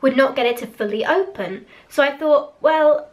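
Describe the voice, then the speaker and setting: Hoarse teen girl, fairly high voice, chatty and candid, bedroom webcam vlog. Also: normal speed. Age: teens. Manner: chatty, candid, a little giggly. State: hoarse, voice cracking after a cough. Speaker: vlogger reviewing products. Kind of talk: casual chat to camera. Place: small bedroom with posters on the wall. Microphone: webcam in front of her face.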